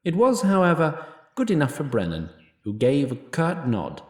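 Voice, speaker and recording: Lively wry male narrator, roomy echo. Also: on the high side, moderate pace. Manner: wry, literary. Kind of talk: scripted story narration.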